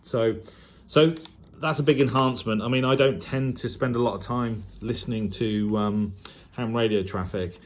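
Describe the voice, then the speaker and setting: A man's voice: mid-range voice, steady tone, normal speed, few pauses. A man giving casual, unscripted commentary as a hobbyist presenter, hesitant and thinking aloud as he demonstrates gear. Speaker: shortwave radio hobbyist presenting a video. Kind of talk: casual unboxing and demo commentary. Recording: clear background noise and ambience.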